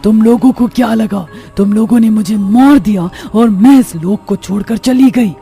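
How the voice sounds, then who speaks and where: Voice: medium pitch, moderate pace, dark tone, soft background music, close to an everyday voice. A performer voicing a wronged ghost witch mother in a cartoon horror story, solemn and dramatic, vengeful yet tender. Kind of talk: Hindi animated horror story dialogue.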